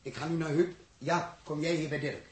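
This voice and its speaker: An actor with a Netherlands Dutch accent playing an authoritative, methodical spaceship captain in a 1960s radio drama, husky and commanding. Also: male voice, a little high, fairly even intonation, normal speed, background noise, close to an everyday voice. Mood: urgent. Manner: commanding, instructive.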